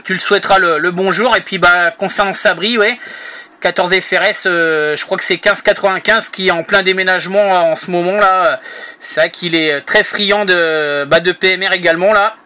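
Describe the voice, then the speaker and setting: High, bright Frenchman over two-way radio. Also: fairly even intonation, normal speed. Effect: heard through a two-way radio. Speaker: amateur radio operator. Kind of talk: casual radio contact chat.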